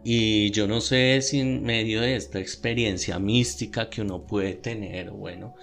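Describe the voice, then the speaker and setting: A man's voice: on the low side, fairly even intonation, unhurried, vocal fry, a bit bright. A man with a Bogotá Colombian accent telling stories and explaining in a conversational, slightly hesitant way on a podcast. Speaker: podcast panelist. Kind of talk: conversational storytelling. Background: music.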